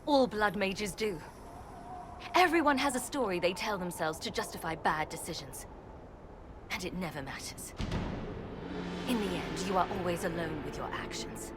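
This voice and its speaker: An actress playing a seasoned woman warrior who speaks in a dry, grim, measured way. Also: lowish voice, expressive, background noise, close to an everyday voice.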